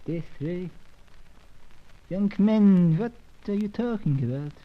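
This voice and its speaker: A crazy little old scientist in a radio adventure serial. He rambles and boasts erratically in a high, drawn-out voice. The sound is dull and vintage. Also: animated. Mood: vague, rambling. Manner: wandering, grandiose, erratic.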